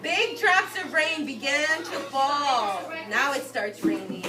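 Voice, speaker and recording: High-pitched woman reading to kids, echoey noisy room. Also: slow pace, over music. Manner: expressive, explanatory, addressing small children. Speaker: teacher reading to young children. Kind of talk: storybook read-aloud with simple explanations. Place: indoor classroom with carpet. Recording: echoey room, distant mic, loud children's chatter. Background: loud chatter of young children.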